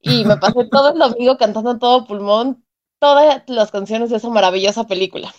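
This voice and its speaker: A woman's voice: a little high, fairly quick, a little mellow. A woman telling a casual personal story as a podcast panelist. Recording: slightly dull, lo-fi audio.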